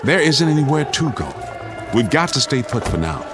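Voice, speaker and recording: A man's voice: mid-range voice, very animated, moderate pace, over music, lightly acted. An actor playing a firm middle-aged American father in a radio drama, giving terse, authoritative orders. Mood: urgent, commanding. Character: firm suburban father, commanding, keeps his head in crisis. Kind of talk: vintage-style radio drama acting.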